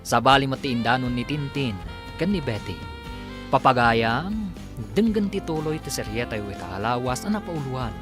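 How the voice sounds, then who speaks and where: Animated male radio drama announcer. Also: medium pitch, moderate pace. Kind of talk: dramatic scripted narration. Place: radio studio. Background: music.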